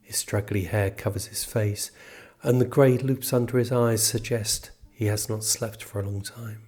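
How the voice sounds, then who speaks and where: A man with a husky voice reading a scripted piece of literary fiction aloud. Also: lowish voice, steady tone, normal speed, darkish tone. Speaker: reader of a literary extract.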